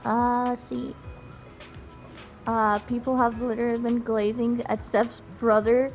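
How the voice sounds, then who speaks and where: A woman's voice: a little high, level tone, a little slow. A woman streaming a game with casual, chatty, reactive live commentary. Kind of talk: casual live gameplay chat. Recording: dull lo-fi mic, game music behind.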